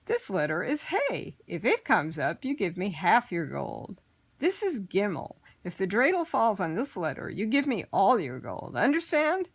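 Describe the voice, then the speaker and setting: A woman's voice: mid-range voice, wildly swooping pitch, unhurried, husky, full character voice. A woman voicing a clever, bold man who teases and outwits goblins in a storybook reading. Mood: teasing. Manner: bright, confident, teasing.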